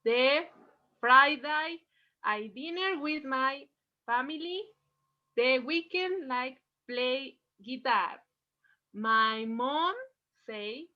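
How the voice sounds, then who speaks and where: Halting, very slow woman on a call. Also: sits high. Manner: halting, hesitant. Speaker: teacher trainee playing a student. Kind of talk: reading a beginner's text aloud. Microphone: video call. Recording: dull video call audio.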